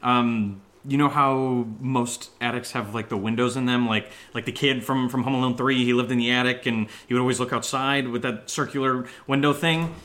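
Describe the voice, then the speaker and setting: A man's voice: medium pitch, steady tone, fairly bright tone, lightly acted. Rambling, sardonic nervous young man, acted. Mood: uneasy, halting. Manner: halting, rambling, sardonic asides. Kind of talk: naturalistic horror audio drama acting.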